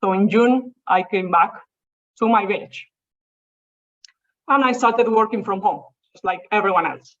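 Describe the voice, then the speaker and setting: A woman's voice: medium pitch, unhurried, long pauses. Young Spanish-accented woman giving a talk. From Spain. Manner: chatty, informal storytelling. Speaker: seminar speaker. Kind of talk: academic talk over a video call. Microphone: video call. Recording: muffled video call audio.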